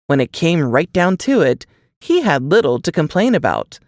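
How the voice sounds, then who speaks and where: Narrator reading a thriller novel, really animated pitch, bit of fry, dry sound. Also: normal speed, dry recording. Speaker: audiobook-style narrator. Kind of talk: scripted narration, reading a suspense novel aloud.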